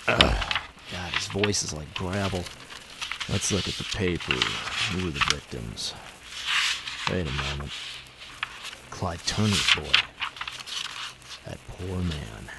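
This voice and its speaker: An actor playing a young speakeasy proprietor with a secret vigilante life in an old time radio drama, speaking in a hesitant, conversational way. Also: male voice, deep voice, lively intonation, slow pace, creaky, pauses often, heavy background noise, full character voice. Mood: brooding. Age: young adult.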